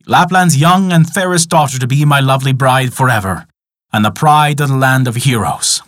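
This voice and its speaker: An ancient wizard, well past his eighties, speaks in a calm and stately way, voiced by a storyteller. His pitch sits in a middling register. The recording is close and dry. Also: normal speed, no room sound, close to an everyday voice. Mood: satisfied. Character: ancient wise old male wizard.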